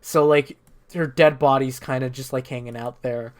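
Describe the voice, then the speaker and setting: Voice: mid-range voice, steady tone, normal speed. A speaker giving a casual, improvised story recap as a gaming streamer, rambling and irreverent with plenty of swearing.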